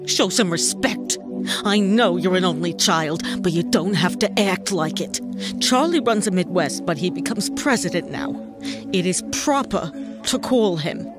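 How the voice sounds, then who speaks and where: A woman's voice: fairly low pitch, lively intonation, unhurried, music in the background. A woman playing a stern, dignified widowed mother, firm and reproving, in an audio drama. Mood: firm reproof.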